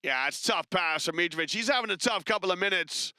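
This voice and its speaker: Guy doing basketball play-by-play, bright crisp voice, dead dry sound. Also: sits high, no echo. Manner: brisk, chatty, rises with excitement on big plays. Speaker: play-by-play commentator. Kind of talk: live basketball commentary.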